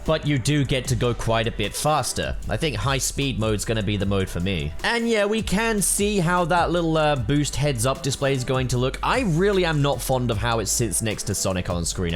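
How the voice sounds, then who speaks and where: A man hosting a gaming news channel, talking casually with fillers and asides. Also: mid-range voice. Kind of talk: casual video commentary.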